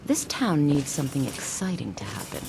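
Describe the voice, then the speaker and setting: Woman playing a low, creaky, seductive townswoman. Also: very animated, moderate pace, a little mellow, slight background noise, heavily acted, rough recording. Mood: sly, disdainful. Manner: languid, insinuating, disdainful. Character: seductive, bored, slyly menacing townswoman.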